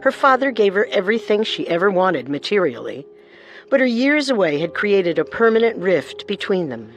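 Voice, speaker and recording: A woman's voice: mid-range voice, big pitch swings, moderate pace, faint music behind. A woman hosting a podcast with scripted true-crime narration. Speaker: podcast co-host.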